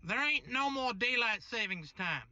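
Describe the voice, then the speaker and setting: A brash, drunken New York man, played by an actor for radio drama, slangy and belligerent. His voice is piercingly bright and swings widely in pitch, captured close and dry. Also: animated, normal speed, no room sound, dull audio, heavily acted. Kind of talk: old-time radio drama acting.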